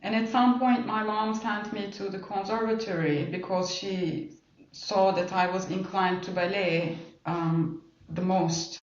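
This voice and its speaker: A middle-aged woman from Turkey with a Turkish accent, telling her own story conversationally as an interview guest. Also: mid-range voice. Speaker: podcast guest. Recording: roomy, distant, muffled mic.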